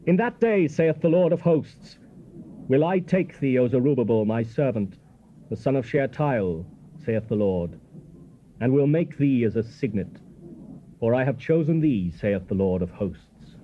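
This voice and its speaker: An actor playing a solemn, commanding Old Testament prophet in a stately, declamatory scripture drama. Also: male voice, medium pitch, lively intonation, unhurried, natural voice. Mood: solemn, urgent. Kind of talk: dramatized King James scripture reading. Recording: dull, noisy old cassette tape.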